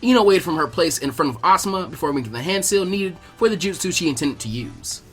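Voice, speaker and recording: Male narrator for amateur story reading, slightly high register, scripted prose delivery. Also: expressive, fast talker, fairly bright tone, soft background music. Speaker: fan fiction reader.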